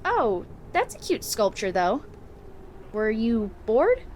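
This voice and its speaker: A woman voicing a perky, bossy young robot girl who teases and schemes in an animated comic dub. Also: a little high, slow pace, a little hiss or hum, full character voice. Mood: teasing. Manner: animated, teasing. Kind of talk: cartoonish comic dub acting. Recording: music behind the voice.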